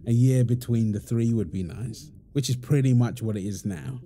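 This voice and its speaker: A man playing a playful, affectionate young father in an intimate roleplay audio, chatting casually and softly as he teases. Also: medium pitch, moderate pace, a little hiss or hum, natural voice. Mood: surprised, teasing. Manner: teasing, soft-spoken, chuckling, informal.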